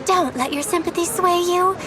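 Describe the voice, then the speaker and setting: Very high, perky young woman. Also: normal speed. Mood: amazed. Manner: animated, exclaiming. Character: perky, chatty young female sidekick. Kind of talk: video game character acting. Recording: crowd noise and ambience behind.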